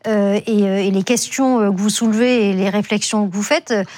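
A woman's voice: mid-range voice, fairly even intonation, a little fast, darkish tone. A woman hosting a news panel discussion, speaking with frequent hesitations and restarts. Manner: hesitant, many fillers and restarts. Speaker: host.

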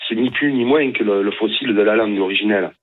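A man's voice: on the low side, quick pace. A man from Arles, calling in to a radio show and making his point emphatically. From Arles, southern France. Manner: emphatic, indignant. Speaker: caller. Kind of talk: phone-in call on radio. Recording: tinny phone line.

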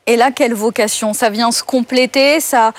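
A woman's voice: fairly high pitch, steady tone, moderate pace, dry recording. Woman interviewer asking probing questions. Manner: brief backchannels, probing questions.

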